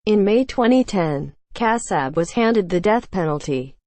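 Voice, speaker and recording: A woman reading a scripted news feature aloud in a measured, formal way. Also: mid-range voice, animated, darkish tone, no room sound. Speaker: news article reader.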